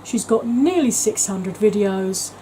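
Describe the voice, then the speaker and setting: A woman's voice: mid-range voice, expressive, a little slow, warm tone, a little hiss or hum, low-quality recording. A woman talking in a chatty, gushing way as a beauty vlogger, with frequent ums. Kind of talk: casual vlog chat.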